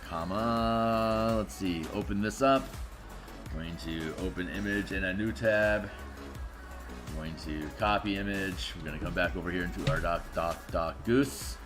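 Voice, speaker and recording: A raspy, tired man chatting casually on a live stream while making game art, rambling and muttering to himself as he works. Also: deep voice, unhurried, over music. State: tired, just woke up.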